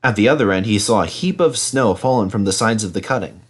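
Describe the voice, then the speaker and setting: A man's voice: on the low side, animated, quick pace, husky. A man reading a children's story as scripted narration. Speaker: storybook reader.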